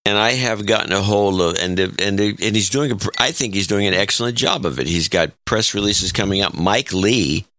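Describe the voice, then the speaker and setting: A man's voice: on the low side, fairly quick, few pauses, pushed and bright, no echo. A man co-hosting a podcast in casual chat, blunt and opinionated, often breaking off and restarting. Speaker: podcast co-host.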